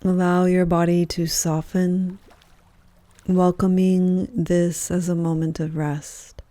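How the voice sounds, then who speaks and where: A woman guides a meditation in a dark, relaxed voice on the low side. She speaks very slowly and gently, leaving soft pauses between phrases. The sound is close and dry. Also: dry recording. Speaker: yoga nidra teacher, meditation guide. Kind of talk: guided meditation, soothing scripted narration.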